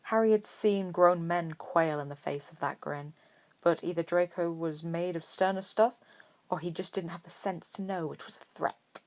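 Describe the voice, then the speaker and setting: A woman with a slightly low voice narrates a piece of fiction. She reads from a script in the style of an audiobook storyteller. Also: moderate pace. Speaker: podfic narrator.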